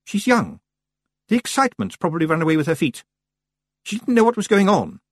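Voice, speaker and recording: A man's voice: high voice, big pitch swings, a little fast, no room sound, clean studio sound, pitched above the performer's own voice, heavily acted. A man voicing a prim, proper, fussy and anxious woman witch in an audiobook. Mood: pleading.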